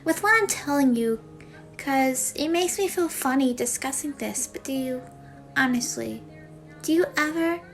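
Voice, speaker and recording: Woman playing shy self-conscious girl confessing, really high voice, hesitant n rambly, faint music. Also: unhurried. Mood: awkward, embarrassed. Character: self-conscious young woman confessing she feels odd. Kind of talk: confessional monologue for a voice acting demo reel.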